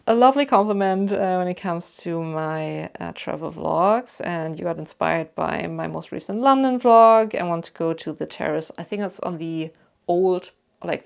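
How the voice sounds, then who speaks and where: A woman's voice: fairly low pitch, vocal fry. A young woman from Germany with a German accent, chatting casually to camera as she answers viewer questions, rambling with lots of "like" and "um". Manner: chatty and rambling, with many "like" and "um" fillers.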